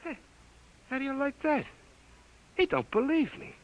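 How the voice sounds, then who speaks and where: A man's voice: extremely high pitch, sing-song, normal speed, dull audio, very noisy. An actor playing a breezy, slangy male radio man, in a 1950s radio drama. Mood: eager. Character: brash, folksy male bureau radio man.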